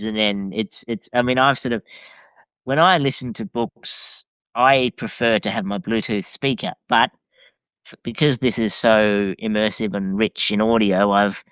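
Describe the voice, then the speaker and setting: A man's voice: fairly low pitch, steady tone, measured pace, a bit bright, clean tone, no room sound, lo-fi sound. A man reviewing as a podcast guest in a casual, hesitant way, often restarting his phrases.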